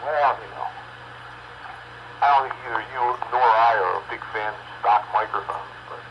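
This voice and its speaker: A man's voice: lowish voice, moderate pace, background noise. A man chatting casually over two-way radio on a cheap stock microphone, grumbling and hesitant in manner. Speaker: amateur radio operator. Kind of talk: casual on-air radio chat.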